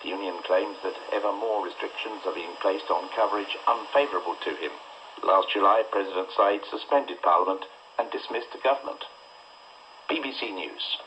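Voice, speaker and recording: Low-pitched male newsreader on noisy radio. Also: normal speed. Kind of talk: scripted news bulletin. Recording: muffled noisy shortwave radio broadcast.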